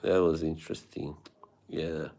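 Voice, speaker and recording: Low, slow Eastern European man. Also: level tone, gravelly, dark tone, slight background noise. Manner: laughs often, swears casually, reads chat aloud. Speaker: livestream host. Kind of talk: casual livestream chat with viewers.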